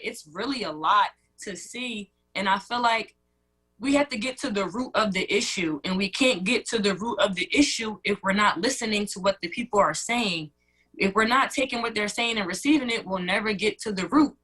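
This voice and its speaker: A woman's voice: medium pitch, brisk, bright, forceful tone, husky, poor audio. A woman in her early twenties giving her views as a guest in a radio interview, earnest and conversational. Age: early 20s. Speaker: student guest.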